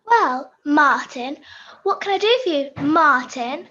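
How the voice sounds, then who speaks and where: A woman's voice: sits high, animated, slow, sharp tone, heavily acted. A young woman voicing a chatty, unbothered female puppet in an amateur play over a video call. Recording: muffled video call audio.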